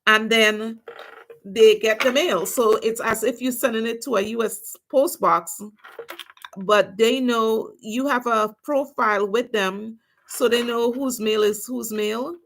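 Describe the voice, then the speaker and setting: Gravelly Trinidadian woman chatting on a livestream. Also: a little high, fairly even intonation, measured pace, fairly bright tone, a little hiss or hum, poor audio. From Trinidad and Tobago. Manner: chatty, talks to viewers while doing her nails. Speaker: nail technician vlogger. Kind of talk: casual livestream chat.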